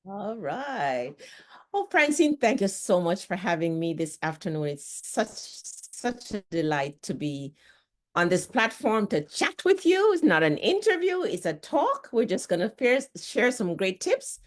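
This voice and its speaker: A woman's voice: medium pitch, sing-song, a little slow. A middle-aged woman from Jamaica with a Jamaican accent, a nurse and author chatting as a guest, repeating words as she talks. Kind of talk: casual conversational talk.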